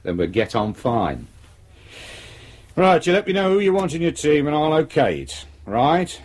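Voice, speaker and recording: A man's voice: on the high side, big pitch swings, unhurried. A man acting in a radio drama as a domineering senior police detective, brusque and authoritative. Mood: stern, threatening.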